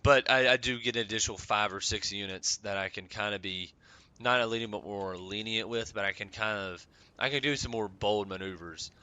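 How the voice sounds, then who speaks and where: A young man with a slightly raspy voice, rambling casually with many ums while commenting on a strategy game. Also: lowish voice, fairly quick, pushed and bright, no echo. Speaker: gaming channel host.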